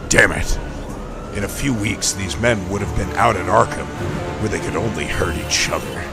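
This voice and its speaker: An actor playing a grim, brooding vigilante detective, delivering a terse, grave inner monologue in a comic-book audio drama. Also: male voice, low voice, moderate pace, loud music behind the voice. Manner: terse, grave, hard-boiled.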